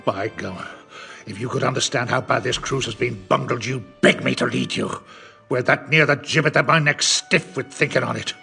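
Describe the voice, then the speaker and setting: Sly pirate captain, creaky, forceful, cajoling, radio drama, music behind. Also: male voice, mid-range voice, moderate pace. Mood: commanding. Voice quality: gravelly. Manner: rustic sailor speech, theatrical. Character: wily middle-aged male pirate captain, cajoling and domineering. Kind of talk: audio drama acting.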